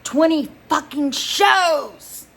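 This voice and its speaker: A woman voicing an excited, brash young male rock musician who swears and exclaims loudly in bro slang. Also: high-pitched, drawn-out words, gravelly, pitched above the performer's own voice, full character voice. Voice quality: loud, exclaiming.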